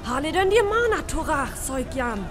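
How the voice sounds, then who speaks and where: A woman's voice: high-pitched, very animated, moderate pace, a crowd in the background, noisy background. A woman playing an alarmed bystander who calls out urgently in a radio play. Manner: urgent, calling out. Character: alarmed female bystander warning people back. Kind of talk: radio play crowd acting.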